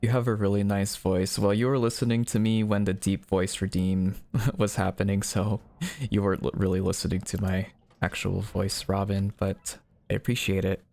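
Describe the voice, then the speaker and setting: A man's voice: lowish voice, little pitch movement, moderate pace, vocal fry, a little mellow. A man live streaming in a casual, conversational way, reading viewers' messages aloud and replying to them. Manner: reads chat messages aloud and replies.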